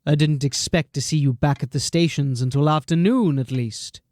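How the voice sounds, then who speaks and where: An actor playing a haughty, pompous captain in an audio drama who talks in a lecturing way. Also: male voice, a little high, moderate pace, a little mellow, no room sound, close to an everyday voice. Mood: impatient. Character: haughty, pompous captain, middle-aged man.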